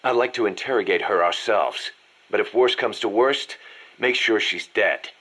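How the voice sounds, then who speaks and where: Gruff, low-voiced military second-in-command. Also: male voice, normal speed, bright, forceful tone, low-quality recording. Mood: stern. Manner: serious, emphatic. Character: gruff, urgent military second-in-command briefing his boss. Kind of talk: dramatic game audio acting.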